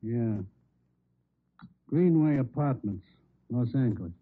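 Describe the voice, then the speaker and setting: An actor playing a methodical police lab technician in an old radio drama, talking casually in terse phrases. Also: male voice, medium pitch, animated, slow pace. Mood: absorbed, casual. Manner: casual, terse, absorbed in his work. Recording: tinny, faintly noisy old radio recording.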